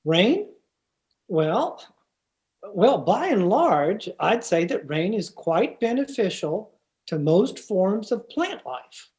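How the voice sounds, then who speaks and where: Woman playing mellow, earnest, pedantic male teacher. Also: medium pitch, very animated, slow. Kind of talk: 1950s radio comedy acting.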